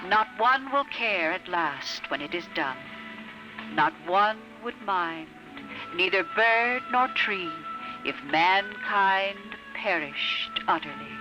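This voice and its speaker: An actor playing a solemn phonograph voice reciting poetry in a measured, lyrical way, in 1950s radio style. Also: mid-range voice, animated, muffled recording. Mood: solemn, wistful. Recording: old radio recording with music.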